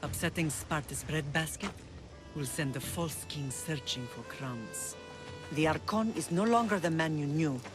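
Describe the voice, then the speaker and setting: A woman's voice: deep voice, a little slow. An actress playing a fierce, vengeful warrior mother in a video game, resolute and uncompromising. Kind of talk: video game dramatic acting. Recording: music under the dialogue.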